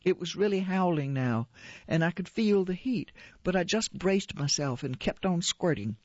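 A woman reading aloud as an older widowed woman recalling fighting a fire, in a plain steady way. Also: fairly low pitch, moderate pace, dry recording, natural voice. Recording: dull lo-fi radio recording.

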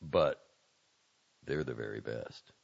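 A man voicing a troubled young male artist who speaks quietly and low. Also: big pitch swings, normal speed, gravelly, breathy edge, lo-fi sound, heavily acted. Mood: subdued. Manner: quiet and subdued.

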